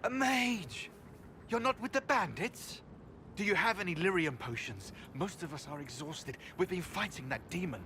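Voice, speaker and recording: An actor playing a panicked, defensive mage who stammers and pleads. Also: male voice, high-pitched, animated, normal speed, bright, forceful tone, slight background noise, heavily acted. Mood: pleading.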